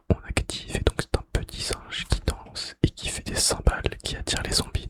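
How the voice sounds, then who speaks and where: Whispering man doing soft ASMR narration. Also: high-pitched. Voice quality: unvoiced breathy whisper. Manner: repeats key phrases slowly and softly, with hesitations. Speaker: ASMR video host. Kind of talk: whispered ASMR narration of video game facts.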